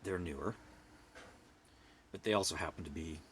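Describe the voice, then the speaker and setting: A middle-aged man from Canada with a loud voice, ranting bluntly to camera and swinging from hushed whispers to forceful outbursts. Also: sits low, moderate pace, a little hiss or hum. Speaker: vlogger. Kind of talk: personal rant to camera.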